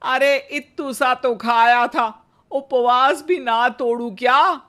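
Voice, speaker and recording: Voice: sits high, fairly even intonation, takes it slowly, bright, forceful tone, pitched above the performer's own voice, exaggerated voice. A performer voicing a gluttonous, sulky old mother-in-law in a comic story, whining and protesting dramatically. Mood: indignant. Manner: whiny, indignant, dramatic protesting.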